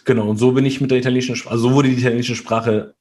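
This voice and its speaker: A man's voice: medium pitch, level tone, very fast, darkish tone. A man from Germany, a guest in a casual interview, talking hesitantly with many fillers and tag questions.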